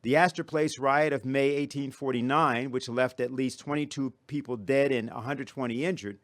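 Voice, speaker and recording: A man gives a prepared formal lecture as a conference speaker, measured and oratorical. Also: medium pitch, steady tone, no room sound.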